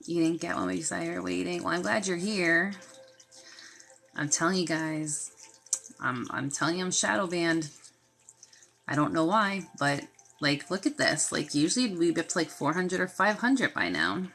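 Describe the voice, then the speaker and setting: A woman's voice: on the low side, sing-song, normal speed. A woman hosting a healing live stream from her phone, sniffly from a sinus infection, chatting casually and greeting viewers by name. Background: desk fountain and quiet music.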